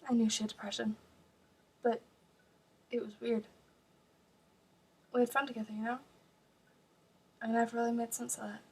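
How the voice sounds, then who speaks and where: A woman plays a bewildered young female student grieving a friend in a stage monologue. She is halting and searching, with a husky, creaky voice set back from the mic. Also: on the high side, little pitch movement, darkish tone, full character voice. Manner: halting, searching, with heavy pauses. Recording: distant mic.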